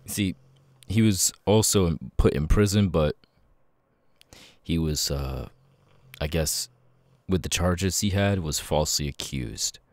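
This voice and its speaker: An extremely deep-voiced, troubled ex-convict, acted by a man in an ASMR roleplay. He speaks slowly and haltingly, apologetic and stammering, in a very dry, close recording. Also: no echo. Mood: confiding, hesitant. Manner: halting, stammering, sudden loud tic outbursts. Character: apologetic, troubled male ex-convict with involuntary tics. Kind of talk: ASMR audio roleplay, halting confiding monologue.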